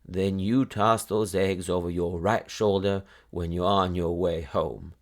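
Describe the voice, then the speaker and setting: A man voicing a patient, gently stern old country woman in a children's story, with a folksy manner. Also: low voice, steady tone, a little slow. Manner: folksy, muttering hums.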